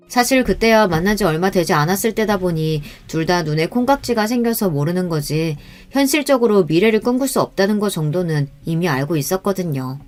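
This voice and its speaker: A woman reading a first-person story aloud in a calm, measured, confessional way. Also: on the low side. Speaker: story narrator.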